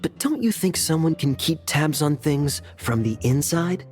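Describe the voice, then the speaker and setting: A man's voice: a little high, animated, measured pace, darkish tone, soft background music. A man voicing a sly young knight captain in a video game, talking in a playful, wry, teasing way.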